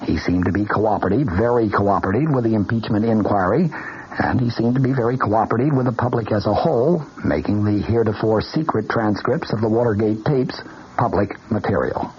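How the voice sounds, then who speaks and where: A man giving formal, measured news commentary in the style of 1970s radio. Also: lowish voice, a little mellow. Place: radio studio. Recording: muffled, faintly noisy vintage radio broadcast.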